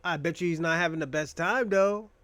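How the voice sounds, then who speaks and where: High-voiced young man riffing profanely. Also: fairly quick, fairly bright tone, no room sound. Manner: profane, rambling, joking. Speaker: podcast host. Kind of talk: casual monologue.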